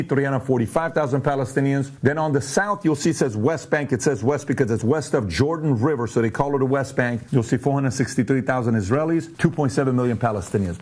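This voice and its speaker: Man raised in iran, show host, emphatic, explaining charts, mid-pitched voice. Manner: questioning, emphatic, animated. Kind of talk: explainer commentary walking through charts.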